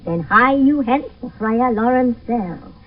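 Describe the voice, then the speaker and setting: A woman's voice: on the high side, lively intonation, a little slow. A woman playing a teasing, complaining, bawdy old nurse in a Shakespeare radio play, dawdling over her words. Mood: gleeful, fond. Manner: dawdling, groaning, chuckling. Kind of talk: 1970s radio Shakespeare acting. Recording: muffled phone-like vintage radio broadcast, distant.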